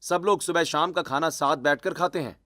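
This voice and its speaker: A man's voice: on the high side, normal speed, a bit bright. A man reading scripted, dramatic story narration.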